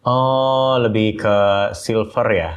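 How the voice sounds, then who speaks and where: A man hosting a relaxed podcast conversation, with a voice at an ordinary middle pitch. He is curious and keeps the talk moving with quick follow-up questions. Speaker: podcast host. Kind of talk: casual chat.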